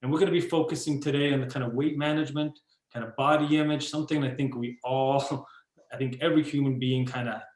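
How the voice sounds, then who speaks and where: A man's voice: medium pitch, fairly even intonation, fast talker, a little mellow. Enthusiastic host man, echoey room. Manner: enthusiastic, repetitive, many fillers. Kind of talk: video call introduction. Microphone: video call.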